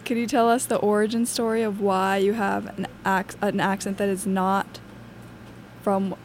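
Upbeat young woman with a flat tone. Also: mid-range voice, normal speed, slight background noise. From Los Angeles. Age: young adult. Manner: bubbly, enthusiastic. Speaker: student radio co-host. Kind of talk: casual chat.

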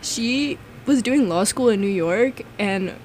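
A young woman talks as a casual co-host, at a middling pitch. Her delivery is animated and conversational, full of "like" as she goes. There is some faint noise behind her voice. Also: takes it slowly. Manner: animated storytelling, heavy use of "like". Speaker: podcast co-host. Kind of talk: casual chatty discussion.

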